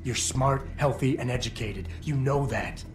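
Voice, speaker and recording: An actor playing a tough, unyielding video game hero who talks in a terse, controlled, confrontational way. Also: male voice, medium pitch, a little slow, music in the background, close to an everyday voice. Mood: blunt, scolding. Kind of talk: dramatic video game voice acting.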